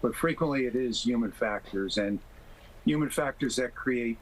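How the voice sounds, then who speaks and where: A man speaking as an expert guest in a TV interview, explaining things in a measured, careful way. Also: mid-range voice, slight background noise, low-quality recording. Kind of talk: live TV interview.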